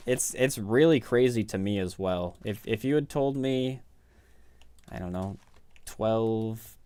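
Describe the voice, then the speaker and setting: A man's voice: fairly low pitch, clean tone, no room sound. A man in his thirties with a Midwestern American accent, a game streamer rambling casually and self-deprecatingly with his viewers. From Midwest United States. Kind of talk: casual chat with live viewers while gaming.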